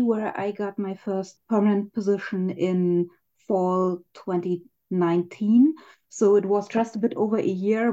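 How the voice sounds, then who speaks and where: A woman's voice: medium pitch, mellow, highs cut off. A woman researcher answering interview questions, explaining her work in a friendly way with a few hesitations. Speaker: physicist, interview guest.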